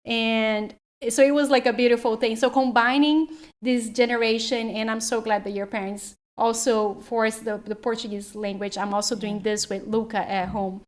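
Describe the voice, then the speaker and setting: Higher voiced woman life coach rambling on, like like like. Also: level tone. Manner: quick, rambling with many "like"s. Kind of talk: casual chat.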